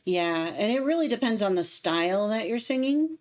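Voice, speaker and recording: A woman's voice: mid-range voice, expressive, moderate pace, darkish tone. A woman in her thirties with a light Midwestern accent, chatting casually on a home livestream, laughing often and explaining singing technique. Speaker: streamer and cover singer. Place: home room, indoors.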